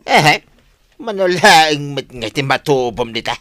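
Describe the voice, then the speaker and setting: A man with a northern Filipino accent playing a henpecked middle-aged village husband, animated and complaining, in a comedic radio drama. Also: a little high, a little slow, no echo, studio quality. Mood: eager.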